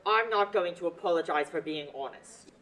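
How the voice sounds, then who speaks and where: A woman's voice: on the low side, lively intonation, moderate pace, a bit bright, rough recording. Teen girl voicing a pushy male pig farmer, self-righteous, radio play. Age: teenager. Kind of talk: student radio play acting.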